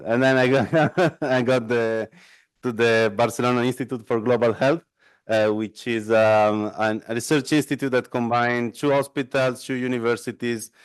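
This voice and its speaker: A low-voiced man in his thirties with an Italian accent gives an academic lecture in a smooth, clear tone. He keeps it conversational, checking in with little "no?" tags, and the sound is dry and close. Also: no room sound. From northern Italy. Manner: conversational, frequent fillers and tag "no?". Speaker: invited lecturer. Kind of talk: academic lecture with casual asides. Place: lecture room at a workshop.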